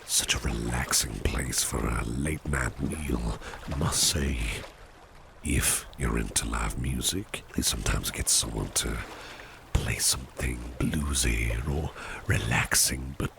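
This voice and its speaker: Man playing a very deep, gravelly suave boss. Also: background noise, heavily acted. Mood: smooth, eager. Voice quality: very deep, gravelly, breathy half-whisper. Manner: slow, gentlemanly, reassuring, coaxing. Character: suave, attentive gentleman boss courting an employee. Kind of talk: ASMR roleplay.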